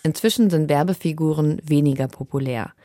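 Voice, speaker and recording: A woman's voice: sits low, fairly quick, dark tone, no room sound, studio quality, soft background music. A woman reading a scripted radio feature as a reporter.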